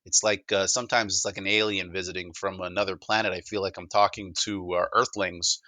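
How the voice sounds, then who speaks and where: A man with a Canadian accent and a low, forceful voice gives an informal demo pitch. He talks discursively, stumbling and doubling back on words. The sound is dry and close. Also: flat delivery, fairly quick, dry recording. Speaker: presenter of a technology demo. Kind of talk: casual sales presentation, conversational.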